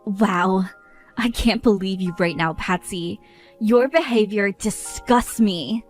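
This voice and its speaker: A woman's voice: medium pitch, slow, close to an everyday voice. A fed-up young bride who scolds with firm indignation, played by a woman. She sounds worn out by the people around her, with faint music in the background. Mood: indignant.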